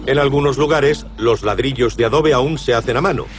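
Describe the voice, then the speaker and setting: A man's voice: mid-range voice, a little fast, slight background noise. A man reading scripted documentary narration as the narrator.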